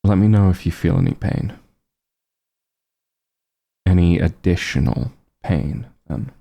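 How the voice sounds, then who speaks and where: Man acting as gravelly, low-voiced back-room doctor. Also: dark tone, full character voice. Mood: focused, gentle. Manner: soothing, unhurried bedside reassurance with dry bluntness. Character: calm male back-room doctor, caring, gently scolding old friend. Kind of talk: ASMR roleplay, softly spoken.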